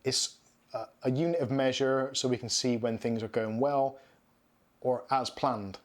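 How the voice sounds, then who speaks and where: A man's voice: medium pitch, a little slow. Man, bristolian accent, thirties, live stream host, chatty, reads out viewer questions, home studio. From southwest England. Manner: chatty, reads out viewer comments and answers them. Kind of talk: casual live Q&A chat. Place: home studio room with shelves and neon signs.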